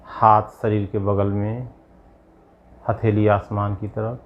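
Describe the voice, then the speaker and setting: A man guiding a yoga nidra meditation as a yogi, giving measured instructions in short, spaced phrases. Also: lowish voice, level tone, highs cut off, slight background noise. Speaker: yogi leading guided meditation.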